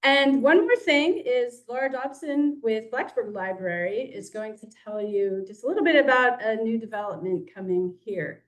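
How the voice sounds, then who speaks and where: A woman's voice: fairly high pitch, moderate pace, hardly any pauses, recorded from a distance, poor audio. A woman organizer making casual announcements, laughing lightly between points. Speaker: event organizer. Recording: voice from away from the mic.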